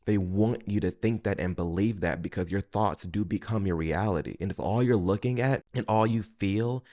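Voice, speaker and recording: A man with a low-pitched voice talks as the host of a reaction video. His delivery is casual and conversational, like informal opinion commentary given straight to the viewer. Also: normal speed, dark tone.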